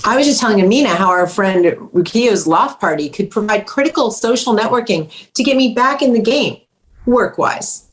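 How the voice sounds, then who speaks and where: A woman's voice: medium pitch, expressive, moderate pace, lightly acted, low-quality recording. A woman playing a witty, sarcastic sixteen-year-old girl at a script table read, dry and flippant. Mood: cheeky.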